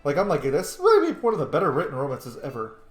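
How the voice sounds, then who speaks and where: A man's voice: a little high, wildly swooping pitch, fast. A man hosting a gaming video in a casual, rambling and informal way. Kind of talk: casual let's play commentary and roleplay. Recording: roomy echo, game music behind. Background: video game music.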